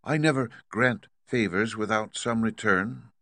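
A man voicing a lovely winged lady ruler who speaks in a cool, measured, imperious way in a storybook reading. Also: on the low side, fairly bright tone, no room sound.

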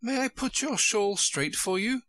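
Man voicing a polite, timid storybook girl, slightly raised pitch. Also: expressive, measured pace, no room sound, studio quality, full character voice. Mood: concerned. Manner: civil, gently practical. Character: polite, timid, sensible young girl Alice.

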